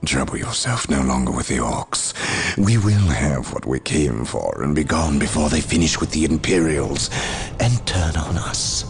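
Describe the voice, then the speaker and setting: An actor playing a cold, calculating Chaos villain in a video game, with a gravelly voice and a measured, condescending manner. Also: male voice, a bass voice, expressive, soft background music, full character voice. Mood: coolly superior. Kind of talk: video game cutscene acting.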